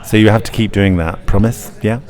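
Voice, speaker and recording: Chatty man with a lowish voice. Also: steady tone, fairly quick, a little mellow. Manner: chatty, playful, encouraging. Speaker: pantomime actor being interviewed. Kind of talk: casual interview chat.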